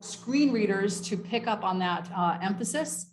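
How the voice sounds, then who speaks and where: A woman's voice: medium pitch, lively intonation, measured pace, slight background noise. A woman presenting a training session in an explanatory, informal way, part prepared and part off the cuff. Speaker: workshop presenter. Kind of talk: semi-scripted training presentation with informal asides.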